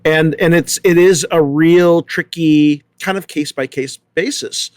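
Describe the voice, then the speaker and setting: A man's voice: fairly high pitch, measured pace, no room sound, clean studio sound. A man speaking casually in a panel discussion, thoughtfully circling back over his points as he works through them. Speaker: theater company leader on a panel.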